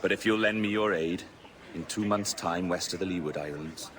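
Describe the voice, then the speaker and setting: An actor playing a shrewd, grandiose pirate captain, speaking in a theatrical, speechmaking way. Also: male voice, sits low, normal speed, a bit bright. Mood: measured. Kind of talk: video game cutscene acting.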